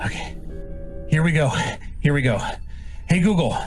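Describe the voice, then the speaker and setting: A man's voice: a little high, animated, normal speed, music in the background, close to an everyday voice. A man playing a devoted, desperate starship captain in a sci-fi audio drama, earnest and pleading. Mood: shaken, steadying. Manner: earnest, pleading, short commands.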